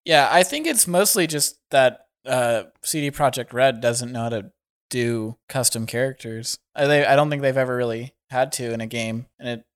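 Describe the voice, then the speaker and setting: A man's voice: medium pitch, normal speed, clean tone. A man chatting casually about games, easygoing and thinking aloud with fillers. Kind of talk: casual chat.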